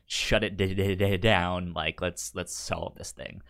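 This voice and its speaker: A man hosting a podcast in casual review chat, speaking haltingly with stammered, repeated syllables. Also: low voice, steady tone, creaky, a bit bright, clear, resonant tone. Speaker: podcast host.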